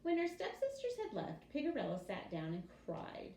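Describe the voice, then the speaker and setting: A woman reading a children's story aloud in an expressive teacher's storytelling style. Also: high-pitched, moderate pace. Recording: roomy echo with faint background noise.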